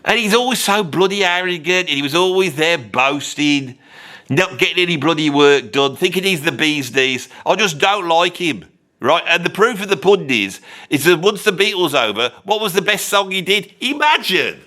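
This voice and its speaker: A man's voice: on the high side, lively intonation, moderate pace, sharp tone. A man voicing a blunt, opinionated bloke with a Birmingham accent, ranting and swearing in a comic monologue.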